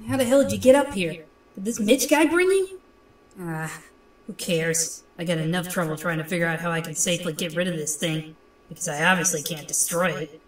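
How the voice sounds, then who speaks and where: A woman's voice: on the low side, slight background noise, close to an everyday voice. A woman playing a troubled, determined young comic book heroine thinking aloud, recorded with echo at a distance. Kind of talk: comic book dub acting, inner monologue. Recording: echoey, distant from the mic.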